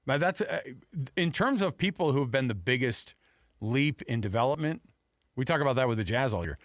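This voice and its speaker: Sports radio host: American man, animated and casual, very varied intonation, dry studio mic. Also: medium pitch, brisk, no room sound. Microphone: close studio broadcast microphone.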